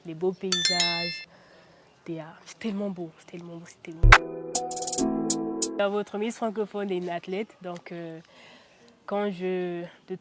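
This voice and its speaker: A woman's voice: on the low side, steady tone, slow, pauses often, over music. Young woman, chatty and hesitant. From Central African Republic. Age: young adult. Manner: chatty, frequent hesitations with "euh", laughs now and then. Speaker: interviewee, beauty pageant titleholder. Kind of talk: casual interview answers.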